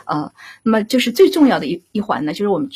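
Female director, live discussion. Heavily husky, lively intonation, pauses to find her words. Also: mid-range voice. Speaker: radio drama director.

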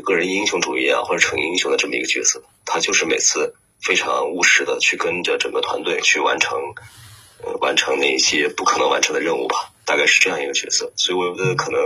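Male voice actor as interview guest: very low, strikingly rough voice, reflective, often pausing to find his words. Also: pushed and bright, poor audio. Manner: hesitant, searching for words.

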